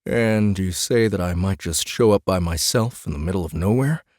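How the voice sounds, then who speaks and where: A man's voice: sits low, moderate pace, darkish tone, dry recording, clean studio sound, close to an everyday voice. A man voicing a blunt, exasperated family man and orchard farmer in a fantasy audiobook, hesitant and irritated. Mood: annoyed, blunt.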